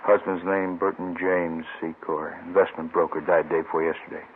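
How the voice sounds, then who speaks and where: A tinny old radio recording with faint noise features a man playing a police detective. His voice is deep, and he reports things in a casual, laconic way typical of 1950s crime drama. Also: little pitch movement, moderate pace, natural voice. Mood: matter-of-fact. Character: easygoing, matter-of-fact male police detective.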